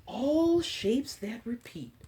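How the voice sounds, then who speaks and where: A woman hosting a children's story time, reading a picture book aloud in an expressive, drawn-out way with chatty, encouraging asides. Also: on the high side, slight background noise.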